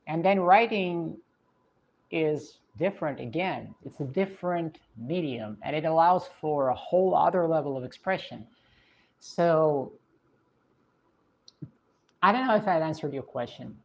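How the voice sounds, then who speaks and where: A woman's voice: low voice, lively intonation, unhurried, pauses often, muffled recording. A woman from Russia, a native Russian speaker with a mixed Russian and British English accent, chatting thoughtfully on a livestream with many hesitations and restarts. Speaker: streamer answering viewer questions. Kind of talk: casual livestream chat.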